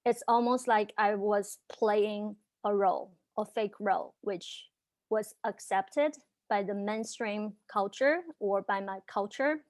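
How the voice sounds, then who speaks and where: A young woman with a Chinese accent tells her own story, stuttering heavily, with long runs of repeated sounds and many fillers. Her voice sits at an ordinary middle pitch for a woman. The recording is close and dry, with almost no room sound. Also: takes it slowly, a little mellow, no echo. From China. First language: Chinese dialect and Mandarin. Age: young adult. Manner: frequent fillers, earnest and candid. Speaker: panelist. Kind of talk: personal story on a video panel.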